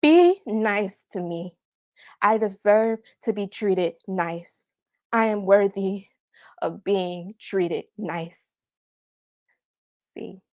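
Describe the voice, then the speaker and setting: A woman's voice: medium pitch, a little mellow. A woman playing a hurt grown daughter pleading emotionally with her rude mother in an audition monologue. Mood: wounded, insistent. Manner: emotional, pleading, slow deliberate stresses. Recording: muffled lo-fi call audio.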